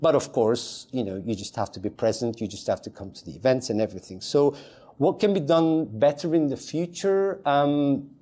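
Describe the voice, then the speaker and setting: A man who grew up in Turkey talks as a podcast guest with a mellow, dark-toned voice. He rambles loosely, circling back with fillers and restarted phrases, at an ordinary middle pitch. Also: normal speed. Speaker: podcast guest, community advisor. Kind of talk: casual chat.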